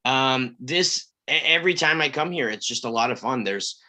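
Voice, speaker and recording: Chatty man with a bright voice. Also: medium pitch, animated, normal speed. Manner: chatty, effusive. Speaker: volunteer voice actor at table read. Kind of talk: casual self-introduction.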